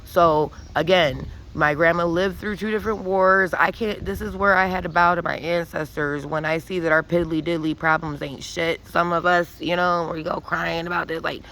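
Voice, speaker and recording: Woman vlogging, gravelly voice, bright edge, rambles and swears a lot, faint noise behind. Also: fairly low pitch, fairly even intonation, normal speed, few pauses, low-quality recording. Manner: rambling, profane, with many restarts; intense and preachy. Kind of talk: emphatic spiritual monologue.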